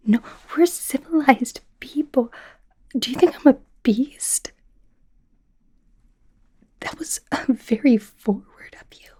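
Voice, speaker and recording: Girl doing a shy nervous werewolf student for asmr, soft mellow breathy voice, kinda high, stammers and rambles. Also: exaggerated voice. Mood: indignant. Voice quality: soft, breathy, often whispery. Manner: nervous, stammering, rambling, indignant when embarrassed. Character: flustered, awkward young female werewolf medical student. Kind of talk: soft-spoken ASMR roleplay.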